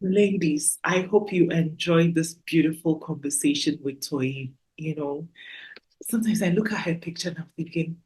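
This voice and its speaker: A woman's voice: deep voice, normal speed, a little mellow, low-quality recording. A woman hosting a podcast, speaking in a gushing, heartfelt, faith-filled way to her listeners. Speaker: podcast host. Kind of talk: effusive interview chat.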